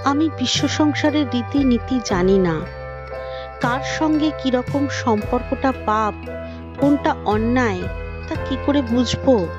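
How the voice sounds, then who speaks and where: A woman's voice: high-pitched, measured pace, muffled recording. A woman voicing a tender, motherly wife who narrates her married life reflectively and intimately in an audio story. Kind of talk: dramatised audio story narration in first person. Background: prominent music.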